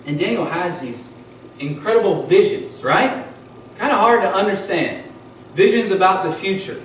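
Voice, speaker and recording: A man in his thirties preaches at a church pulpit, asking his congregation questions as he teaches. His voice sits a little high for a man. It carries through a very echoey sanctuary, caught by a mic set some way off, with a faint background hiss. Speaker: pastor. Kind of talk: sermon. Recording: echoey church hall from far off.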